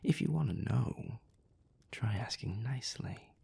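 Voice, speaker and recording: A man's voice: fairly low pitch, animated, unhurried, vocal fry, mellow, breathy edge, exaggerated voice. A man playing a sneering old vampire rival. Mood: cool, cutting. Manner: dry, mocking, formally polite. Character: haughty sardonic male vampire, old rival. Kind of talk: audio roleplay acting.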